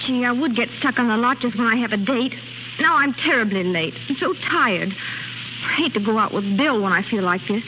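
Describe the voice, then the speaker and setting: Slangy, higher-voiced American girl, played by an actress. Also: lively intonation, normal speed, close to an everyday voice. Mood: weary. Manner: breezy, slangy, gushing. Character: perky young American daughter in a soap advertisement. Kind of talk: 1930s radio commercial acting. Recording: muffled, noisy 1930s radio recording.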